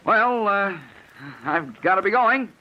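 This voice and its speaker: Muffled old radio play: folksy evasive neighbor, fairly high male voice, over-casual, faint noise. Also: expressive, slow pace. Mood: falsely casual. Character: chatty, evasive small-town male neighbor. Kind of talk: 1940s radio drama acting.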